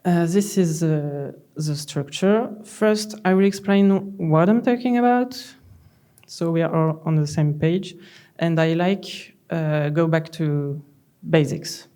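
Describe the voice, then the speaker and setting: French-accented woman, middle-aged, conference speaker at a lectern; slow, relaxed delivery, dry humor. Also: low voice. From France. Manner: slow, calm, gently humorous. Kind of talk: conference talk. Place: large conference hall, on stage at a lectern.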